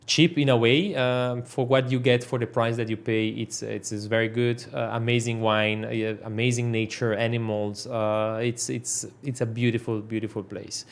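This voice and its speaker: A man's voice: mid-range voice, flat delivery, normal speed, few pauses. Man, hesitant. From Switzerland. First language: Italian. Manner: hesitant, many fillers and restarts. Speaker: guest entrepreneur. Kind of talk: casual interview conversation.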